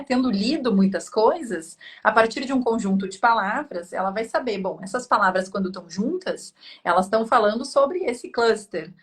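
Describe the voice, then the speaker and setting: Lady professor explaining tech, says né a lot, a bit high voice. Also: a bit bright. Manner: clear, explanatory, frequent "né?" tags. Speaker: professor, guest expert. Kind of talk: didactic panel explanation.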